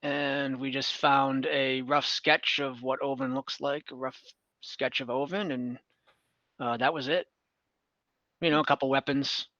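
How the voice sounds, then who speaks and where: A man's voice: mid-range voice, steady tone, unhurried, sharp tone, no echo, highs cut off. A man improvising a casual, matter-of-fact prison official in a tabletop roleplay, with a laid-back, hedging manner. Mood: guarded, casual.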